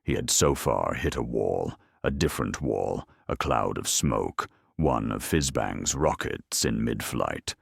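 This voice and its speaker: Male story narrator: rumbling bass, husky, unhurried, swinging widely in pitch, grimly wry. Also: expressive, dry recording, clean studio sound. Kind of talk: dramatic scripted narration.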